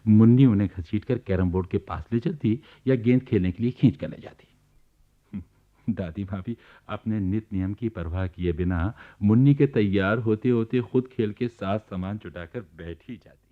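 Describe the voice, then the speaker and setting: A man's voice: fairly low pitch, normal speed, lightly acted. A man giving scripted storytelling narration in a radio play. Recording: thin phone-like archival radio recording.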